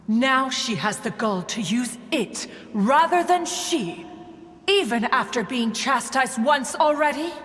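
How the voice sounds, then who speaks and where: A woman's voice: sits high, a bit bright. An actress voicing a proud, haughty female crane spirit and inventor in a video game, talking in a stately, pompous way. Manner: pompous, stately, refers to herself as one. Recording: long reverb with game music behind.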